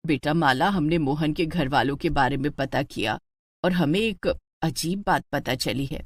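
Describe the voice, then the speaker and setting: A woman voicing a caring middle-aged mother in a cartoon story, gentle and concerned. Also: low-pitched, unhurried, no echo, voice pushed down. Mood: worried.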